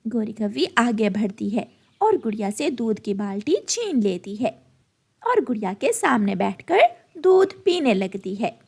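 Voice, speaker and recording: A woman's voice: a little high, very animated, unhurried, gravelly, breathy edge. A woman storyteller giving scripted narration for a children's cartoon.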